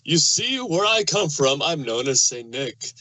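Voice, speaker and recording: A man's voice: on the high side, big pitch swings, unhurried, bright, forceful tone, dry recording, heavily acted, poor audio. A man voicing a jolly old Santa Claus, kindly and hearty, telling his lines in storybook rhyme. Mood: earnest, troubled. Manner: hearty, theatrical storytelling in verse. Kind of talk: rhyming storybook fan fiction reading.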